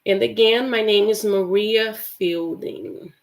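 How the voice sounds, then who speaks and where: A woman's voice: medium pitch, slow. A woman from Brazil whose first language is Portuguese, teaching English in a chatty live stream with many fillers and restarts. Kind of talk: casual livestream lesson.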